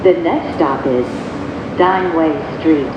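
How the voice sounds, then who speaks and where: A woman with a lowish voice delivers recorded transit announcements in measured, segmented phrases. Her delivery is slow, and it plays inside a subway car through a dull recording with strong train noise. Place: played over speakers inside a subway car.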